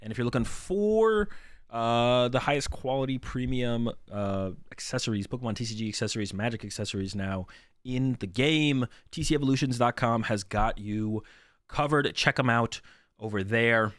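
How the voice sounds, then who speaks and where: A man's voice: mid-range voice, normal speed, no echo, clean studio sound. A young man hosting a card game stream, chatting casually to his viewers while sick, his voice worn. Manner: relaxed, rambling, repeats himself.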